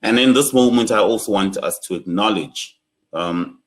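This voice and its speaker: Young man, South African accent, very deep voice, solemn, measured workshop talk. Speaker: workshop facilitator.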